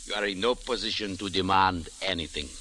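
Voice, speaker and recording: An actor playing a stern, haughty Egyptian government official with formal, deliberate speech in an old-time radio drama. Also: male voice, low-pitched, bright, forceful tone. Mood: coldly polite. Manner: deliberate, formal, menacingly polite. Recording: hissy old radio recording.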